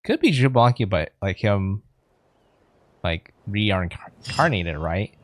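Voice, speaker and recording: Lower-voiced man musing over a game. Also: expressive, slow, no room sound. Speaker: game streamer. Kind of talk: casual let's play commentary, reading some dialogue aloud.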